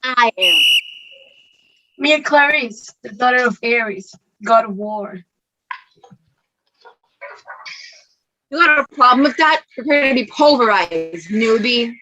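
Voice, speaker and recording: A woman's voice: on the high side, animated, a little slow, bright, forceful tone, lo-fi sound. A woman playing a brash, bullying daughter of the war god in a musical theatre table read, taunting and aggressive. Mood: menacing.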